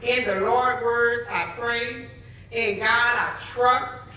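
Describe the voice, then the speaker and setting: A woman's voice: fairly high pitch, fairly even intonation, sharp tone, slight background noise. A woman addressing a public board meeting, reciting scripture in a solemn, preacher-like way before giving a warning. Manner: slow, solemn, declaiming. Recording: echoey meeting room.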